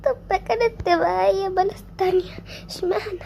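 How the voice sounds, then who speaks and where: A young performer playing a frightened Egyptian girl who sobs, pleads and repeats her cries for her mother in a very high, shrill voice. It is an amateur skit with loud noise behind it. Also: fairly even intonation, normal speed. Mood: sobbing, pleading. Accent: Egyptian Arabic. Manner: sobbing, pleading, repeating herself. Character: terrified young girl trapped in an earthquake.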